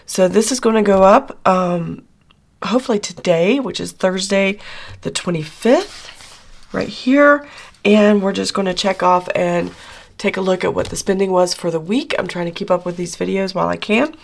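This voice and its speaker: A middle-aged woman, hoarse after losing her voice, chatting casually through her weekly budget check-in with lots of fillers. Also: medium pitch, normal speed. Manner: chatty, rambling with many fillers. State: hoarse, recovering from lost voice. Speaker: budgeting channel host.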